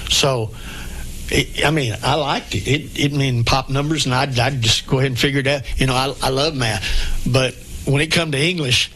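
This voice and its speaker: A man hosts a local radio talk show. He chats in a folksy, rambling way with plain homespun grammar. His voice sits at a mid pitch. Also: steady tone, moderate pace, bright, forceful tone, rough recording. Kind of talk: casual chat interview.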